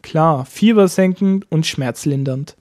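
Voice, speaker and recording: A man teaching a language lesson, explaining each point slowly step by step. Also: a little high, darkish tone. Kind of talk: lesson narration.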